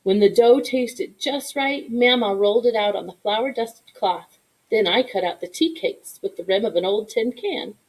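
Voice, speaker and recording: A woman reading a children's story aloud in an expressive narration, with asides to young listeners. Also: a little high, moderate pace, rough recording. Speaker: storybook reader.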